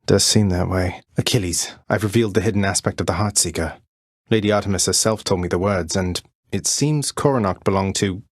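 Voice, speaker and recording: A low, closely recorded male voice with very little room around it. He is a young underworld prince from a video game, rebellious yet earnest. He speaks in a polite, understated, conversational way edged with dry wit. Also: dry recording. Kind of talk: video game character voice lines.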